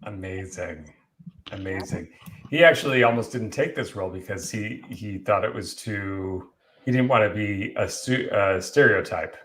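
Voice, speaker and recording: A man's voice: lowish voice, moderate pace, a bit bright. A man chatting casually and enthusiastically as a guest presenter, telling bits of film trivia.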